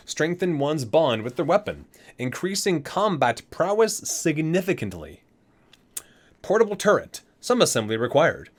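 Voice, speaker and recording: A man reads aloud for an ASMR video in a medium-pitched voice that moves up and down a lot. He slips between scripted entries and chatty, geeky commentary. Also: a bit bright. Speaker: ASMR video creator reading game item descriptions. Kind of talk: ASMR reading aloud with casual asides.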